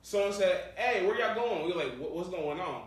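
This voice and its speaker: A fairly high-voiced American man tells a story casually as a podcast co-host. His talk is slangy and profane, and he voices the people he quotes. The sound is heavily echoey and distant from the mic, with faint noise. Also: expressive, fairly quick. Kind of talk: casual animated storytelling among friends.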